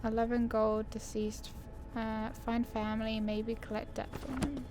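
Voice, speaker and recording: A woman's voice: on the high side, little pitch movement, unhurried, noisy background. A woman giving casual, chatty gameplay commentary as a video host, reacting aloud as she plays. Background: game music.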